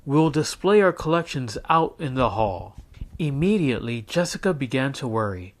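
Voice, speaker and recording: A man reading a children's picture book aloud as a teacher, in an expressive storytelling style. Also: mid-range voice, normal speed.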